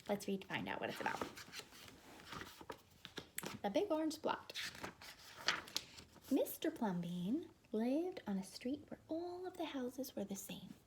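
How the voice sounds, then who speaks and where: A woman's voice: sits high, big pitch swings, measured pace, pauses often, background noise. A woman reading a children's picture book aloud as a teacher, expressively, with asides to young listeners.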